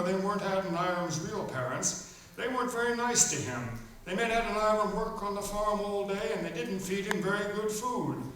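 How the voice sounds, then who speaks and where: A man's voice: sits high, a bit bright. An actor playing a folksy children's author who narrates his story to kids on stage with playful, mock-serious asides. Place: live stage performance in a hall. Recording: echoey hall from far off, faint noise.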